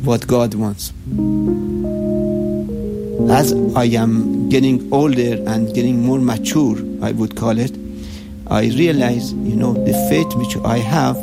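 A man from Iran with an Iranian accent, a shop owner speaking haltingly about his faith and daily life. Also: mid-range voice, fairly even intonation, gravelly. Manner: halting, searching for words. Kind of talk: interview, personal reflection. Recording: music bed under voice.

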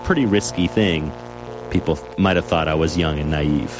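Very deep-voiced man explaining calmly. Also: moderate pace, low-quality recording. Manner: calm, explanatory. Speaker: oceanographer. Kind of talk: interview. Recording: music bed underneath.

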